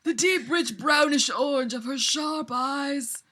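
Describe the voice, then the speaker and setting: A woman's voice: high voice, slow, sharp tone. A woman giving a giggly, theatrical, mock-dramatic reading of fan fiction to friends. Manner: theatrical, giggly, often breaking up.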